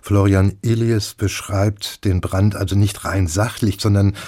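A man's voice: on the low side, steady tone, a little slow, dark tone. A man hosting a radio programme on audiobooks, presenting and discussing books in articulate, elaborate phrases.

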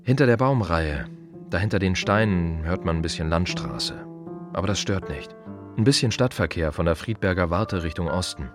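An aging gravedigger in a radio play monologue, with a very low voice over soft music. He is weary and reflective, fond of silence. He muses in terse fragments, circling back to repeat his phrases. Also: animated, moderate pace. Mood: quiet, matter-of-fact.

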